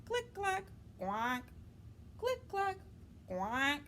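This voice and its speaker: A woman's voice: high-pitched, wildly swooping pitch, extremely slow, fairly bright tone, slight background noise, exaggerated voice. A woman performing typing farm animals in a children's storybook, making rhythmic, singsong typewriter clacks and animal calls. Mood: playful, rhythmic. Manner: rhythmic, singsong sound effects.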